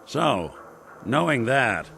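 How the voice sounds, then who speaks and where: An actor playing a weary, determined middle-aged robot private detective in a video game, with a wry, hard-boiled noir way of talking. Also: male voice, medium pitch, very animated, very slow, gravelly, pushed and bright, a little hiss or hum, full character voice. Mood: haunted, resolute.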